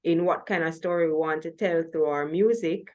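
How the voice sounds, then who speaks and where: Jamaican woman hosting, lower-pitched voice. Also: normal speed, a little mellow, dull audio. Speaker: host of a music talk show. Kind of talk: conversational interview hosting.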